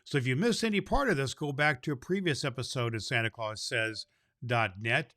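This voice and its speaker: A man playing a jolly, big-hearted Santa Claus who hosts a children's holiday story podcast in a folksy, halting, rambling way. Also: medium pitch, pushed and bright, dry recording, studio quality, close to an everyday voice. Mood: cheerful, folksy.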